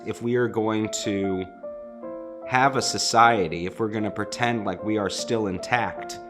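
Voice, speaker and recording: A man's voice: lowish voice, steady tone, unhurried. A man speaking as a theater artistic director in an interview, with wry, emphatic phrasing. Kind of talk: behind-the-scenes interview. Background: music.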